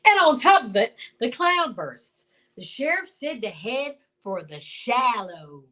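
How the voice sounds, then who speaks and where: Woman playing a folksy old marshal, bright and animated. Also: fairly high pitch, slow, heavily acted. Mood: urgent. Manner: folksy, drawling exclamations, hemming. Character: folksy older male small-town marshal, dutiful, flustered. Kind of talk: old-time radio drama acting.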